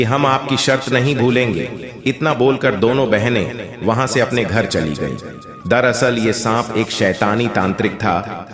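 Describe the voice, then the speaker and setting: Echoey male storyteller, scripted narration. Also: on the low side. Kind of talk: scripted moral-tale narration.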